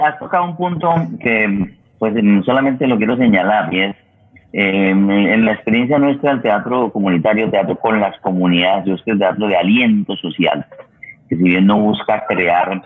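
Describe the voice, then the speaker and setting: Husky low-voiced Colombian man on phone-like line. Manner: halting, reflective, repeats words while searching. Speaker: radio host and theater practitioner. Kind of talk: conversational interview and commentary.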